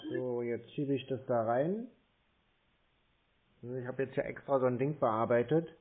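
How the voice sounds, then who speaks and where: A man in a casual phone conversation, muttering along slowly as if fumbling with a task. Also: medium pitch. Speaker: scambaiter taking a scam call. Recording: narrow phone-like sound, faint clicky noise.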